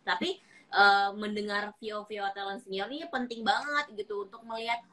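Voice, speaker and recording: Chatty young woman voice-over talent. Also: medium pitch. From Java, Indonesia. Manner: chatty, lively, drops into quick voice impressions. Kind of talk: casual interview chat.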